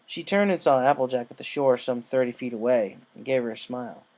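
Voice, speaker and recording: A man serves as narrator, reading a scripted story aloud. His voice sits at a middle pitch for a male speaker. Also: fairly quick, darkish tone.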